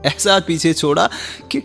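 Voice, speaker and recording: A withdrawn young husband in a radio play, hurt and guarded. His delivery is halting and emotionally strained. Long hall reverb surrounds him, with faint music behind. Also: a little high, gravelly, fairly bright tone, exaggerated voice. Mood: hurt, resentful. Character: wounded, withdrawn young husband hiding resentment behind duty. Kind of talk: Hindi radio drama acting.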